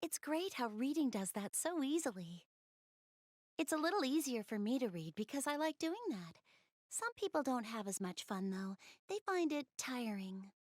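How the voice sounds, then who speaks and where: A woman's voice: fairly high pitch, very animated, moderate pace, no room sound, studio quality. A woman voicing a shy, bookish young goddess girl in a video game dub, speaking politely and earnestly with bashful hesitation. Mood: enthusiastic, earnest. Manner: hesitant, bashful, polite, rambling about hobbies. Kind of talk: English video game dub, visual novel dialogue.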